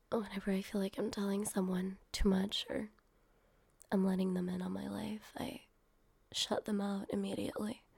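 Young woman doing a guarded girl opening up to her driver, soft half-whisper, husky and a bit gravelly, dark tone. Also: mid-range voice, no echo. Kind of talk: one-sided audio roleplay addressing the listener.

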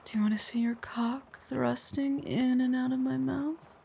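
A woman speaking in an intimate whispered audio roleplay, mostly soft whispers with low murmured hums, in a coaxing, praising tone. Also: fairly even intonation, unhurried, creaky, a little hiss or hum.

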